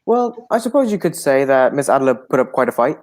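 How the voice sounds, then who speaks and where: A genial gentleman companion and storyteller of old radio drama, acted by a man. His mellow voice swings widely in pitch, with proper, exclamatory phrasing. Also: very animated, a little fast, natural voice. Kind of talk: adaptation of a 1940s radio drama.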